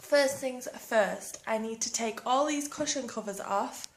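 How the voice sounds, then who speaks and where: A woman's voice: fairly high pitch, a little slow. A young woman from England, chatting casually in a daily vlog at home, her voice nasal from a blocked nose. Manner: chatty and excitable. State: congested, nasal. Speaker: vlogger. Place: home, indoors.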